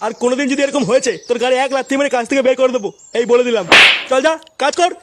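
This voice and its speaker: A man's voice: very high voice, fairly even intonation, very fast. A man voicing a bullying, lecherous old factory boss in a comic cartoon, with a coarse, scolding manner. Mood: angry scolding. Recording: loud noise behind the voice.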